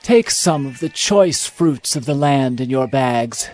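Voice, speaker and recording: A man voicing an aged, grieving father who speaks in slow, mournful pleas. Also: medium pitch, lively intonation, darkish tone, soft background music. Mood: resigned. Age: older.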